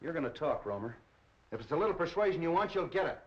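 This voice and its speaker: An actor playing a brusque, bullying police captain who questions curtly, in a dull-sounding 1930s film. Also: male voice, a little high, very animated, fast talker. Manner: curt, interrogating.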